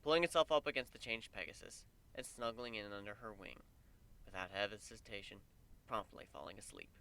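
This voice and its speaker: A man narrating a story as a dramatic reading, expressive and deliberate in his telling. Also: on the low side, gravelly, a little hiss or hum.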